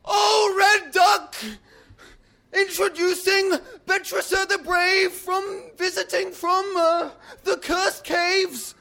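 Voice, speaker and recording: A man voicing a fawning masked creature who serves as a palace herald, announcing loudly and ceremonially in a storybook tale. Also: fairly even intonation, slow pace, full character voice. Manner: shrill, loud, ceremonial announcing.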